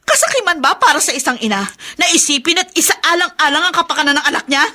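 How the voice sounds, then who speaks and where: Radio drama role: insistent, embittered mother, sharp-edged, animated, melodramatic scolding delivery. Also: high-pitched, fast talker, heavily acted. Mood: indignant. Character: embittered, insistent mother pressing her stubborn husband.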